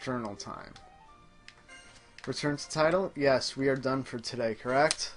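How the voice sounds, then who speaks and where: A man's voice: medium pitch, unhurried, soft background music. A man hosting a gaming show, playing and chatting casually, hesitant as he thinks aloud. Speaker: host playing a video game. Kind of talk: casual gaming commentary chat.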